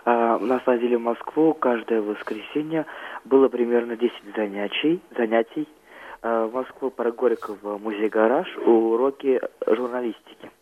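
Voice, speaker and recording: A teenage male guest answering interview questions in a mid-pitched voice. He speaks hesitantly, searching for words as he recounts things. The audio is narrow and phone-like. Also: normal speed. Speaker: student guest on a radio programme.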